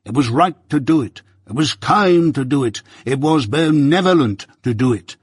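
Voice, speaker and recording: A man voicing a pompous, self-righteous older merchant who lectures slowly and loudly in a raised, husky voice. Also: a little high, animated, dry recording, clean studio sound, pitched above the performer's own voice. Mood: lecturing. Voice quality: pompous, preaching, drawn-out emphasis.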